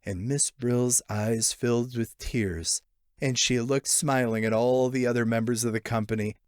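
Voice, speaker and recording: A man narrating a literary short story in an expressive, theatrical way. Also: mid-range voice, a little slow, dry recording, studio quality. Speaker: audiobook narrator.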